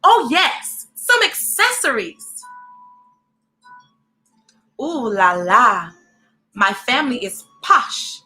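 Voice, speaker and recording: An animated, encouraging woman hosting a storybook read-aloud, speaking directly to children in an expressive way. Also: on the high side, very slow, long pauses, faint music behind.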